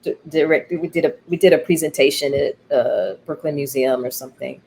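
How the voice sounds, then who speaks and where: A woman's voice: low-pitched, a little fast, a little mellow, slight background noise. A woman speaking reflectively and candidly as an interviewed playwright, expressively acting out remarks she quotes.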